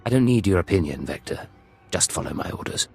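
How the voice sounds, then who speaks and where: An actor playing a curt, sardonic imperial secret agent in a video game, with a dry, dismissive and commanding way of talking. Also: male voice, deep voice, expressive, brisk, creaky, a little mellow, husky, faint music behind, full character voice.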